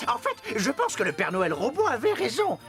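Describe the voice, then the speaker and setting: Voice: medium pitch, wildly swooping pitch, fairly quick, fairly bright tone, faint music behind. An actor playing an emphatic, easily astonished cartoon character with exclaiming delivery. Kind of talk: French cartoon dub.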